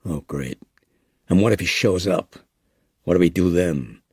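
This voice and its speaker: Guy doing a tired gruff cop chief, deep and gravelly, kinda husky. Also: unhurried, no echo, clean studio sound, heavily acted. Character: weary, guilt-ridden small-town police chief, man. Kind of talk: audiobook character reading.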